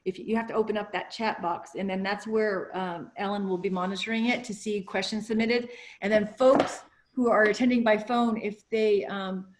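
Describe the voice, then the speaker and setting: A woman's voice: medium pitch, steady tone, normal speed, few pauses, a little hiss or hum. A woman hosting a webinar, chatting casually beforehand and rambling with fillers and restarts. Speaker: webinar host.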